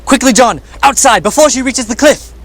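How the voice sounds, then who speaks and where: A man's voice: very high voice, a bit bright. A man playing a formal, authoritative Victorian doctor and worried father in a radio drama. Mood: urgent. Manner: formal, measured, commanding. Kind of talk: stage radio drama acting.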